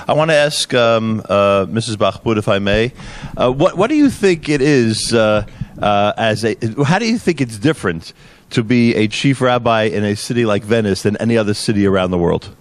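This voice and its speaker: A man's voice: on the low side, lively intonation, normal speed, hardly any pauses. A male show host conducting an interview, asking questions in an easygoing, conversational way.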